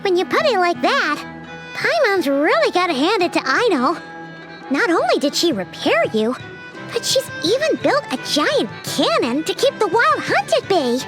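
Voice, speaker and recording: A very high, bouncy little fairy girl who chatters eagerly and swoops up and down as she talks. Her game dialogue sits over music. She sounds like a playful animated sidekick. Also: normal speed. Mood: chatty. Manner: eager, chattering, refers to herself by name. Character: tiny floating fairy girl companion, chatty and curious. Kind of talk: game voice acting.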